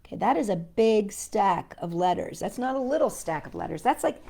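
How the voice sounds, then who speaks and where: A woman hosting children's storytime, reading a picture book aloud expressively and deliberately with chatty asides. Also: medium pitch, gravelly, husky. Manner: expressive, deliberate, talking to young listeners.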